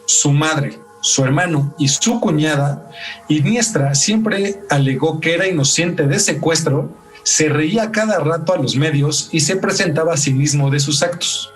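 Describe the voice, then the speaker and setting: A man's voice: fairly high pitch, measured pace, far from the mic, soft background music. A man with a Mexican accent hosting a true crime podcast, moving between telling the case and casual talk. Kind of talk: true crime narration mixed with casual chat.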